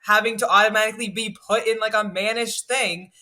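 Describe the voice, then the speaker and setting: A woman chatting on a podcast panel in an animated way, leaning on "like" a lot, with what she calls a low, deep voice. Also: a little fast. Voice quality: self-described low, deep voice. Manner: chatty and animated, heavy use of "like". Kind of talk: casual podcast chat.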